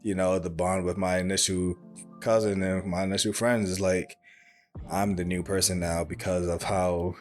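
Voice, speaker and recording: Calm, soft-voiced young man musing. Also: low voice, normal speed, soft background music. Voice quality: soft, calm voice that does not project. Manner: rambling, thoughtful, many fillers and restarts. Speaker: podcast host. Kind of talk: reflective solo podcast monologue.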